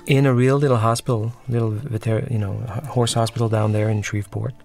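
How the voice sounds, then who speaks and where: A man, the film's director, talking through a commentary track and often pausing and restarting as he searches for words. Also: fairly low pitch, flat delivery, vocal fry, a little mellow, soft background music. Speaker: film director.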